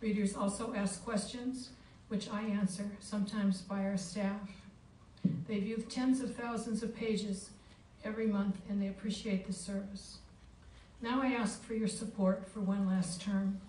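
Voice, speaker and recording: Mellow-voiced woman speaking in an echoey room. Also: medium pitch, steady tone, unhurried. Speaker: school board incumbent candidate. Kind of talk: prepared campaign speech. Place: public forum in a board room. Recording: echoey boardroom from far off, faint noise.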